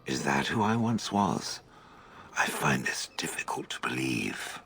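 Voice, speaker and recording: An actor voicing a huge ancient stone golem in a video game, haughty and dry, speaking formally and deliberately. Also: male voice, extremely low pitch, sing-song, gravelly, a bit bright, husky, full character voice. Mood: pensive, formal. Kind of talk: video game character acting.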